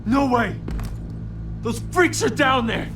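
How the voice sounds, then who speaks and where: A panicky game character, a frightened worker pleading in an extremely high, creaky, strained voice. He sounds a little way off from the mic, with music running strongly behind him. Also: lively intonation, slow pace, far from the mic, heavily acted. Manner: frantic, pleading. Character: terrified male facility worker, panicky and reluctant. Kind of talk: video game voice acting.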